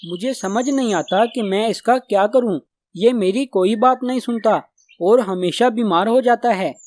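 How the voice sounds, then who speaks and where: A woman voicing a sensible, obedient young male sparrow in a children's cartoon, earnest and gently chiding. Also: mid-range voice, a little slow, a little hiss or hum, natural voice. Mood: concerned. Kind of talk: Hindi children's cartoon dubbing.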